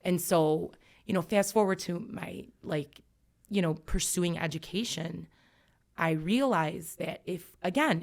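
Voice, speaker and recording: A woman's voice: fairly low pitch, gravelly. A woman in her thirties, an educator guest on a podcast, talking reflectively and emphatically with many fillers. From United States. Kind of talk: earnest podcast conversation.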